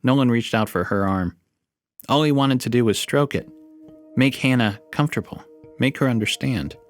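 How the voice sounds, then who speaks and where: A man's voice: mid-range voice, normal speed, no room sound, soft background music. A man reading as narrator in a scripted podcast style. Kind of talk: scripted dark story narration and podcast promo read.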